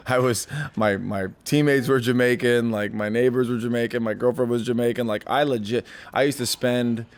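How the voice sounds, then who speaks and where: A man's voice: medium pitch, fairly even intonation, moderate pace. A young man, a stand-up comedian, chatting casually as a podcast guest, rambling with many fillers and restarts. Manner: rambling, lots of likes and restarts.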